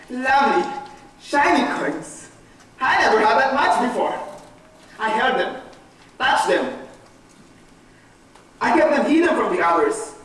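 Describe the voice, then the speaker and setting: An actor playing a bitter, guilt-ridden betrayer in a dramatic stage monologue, swinging from muttering to anguished outbursts. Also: male voice, very high-pitched, fairly bright tone, heavily acted. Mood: gloating. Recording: very echoey hall from far off, faint noise.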